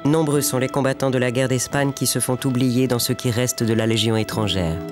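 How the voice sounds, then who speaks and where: A man delivering scripted documentary narration in a measured, solemn way. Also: mid-range voice, steady tone. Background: music underneath.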